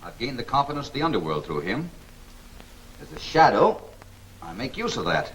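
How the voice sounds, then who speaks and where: An actor playing a decisive scientist and secret crime-fighter in a 1940s film serial, brisk and authoritative. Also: male voice, medium pitch, very animated, fairly bright tone. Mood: assured. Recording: noisy 1940s film soundtrack.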